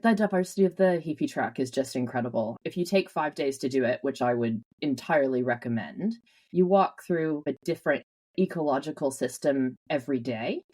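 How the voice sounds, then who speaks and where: A Canadian woman telling stories with lively energy as an interview guest, laughing often. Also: very deep voice, brisk, rough recording. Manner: animated storyteller, laughs often. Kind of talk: casual interview.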